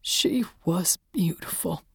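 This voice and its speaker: A woman voicing a desolate, bony old widower in shock, with a cracked, whispery voice and halting, tearful delivery, in an audiobook story. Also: fairly low pitch, gravelly, slightly rough, no room sound, clean studio sound, voice pushed up, full character voice. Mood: grieving, wistful. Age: older.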